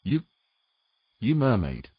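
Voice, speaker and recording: Man playing a husky, wary fantasy hero. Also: on the low side, wildly swooping pitch, takes it slowly, dry recording, highs cut off, full character voice. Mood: bitter. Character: wary, stubborn educated man, reluctant ally of a mermaid. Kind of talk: dramatic audio fiction acting.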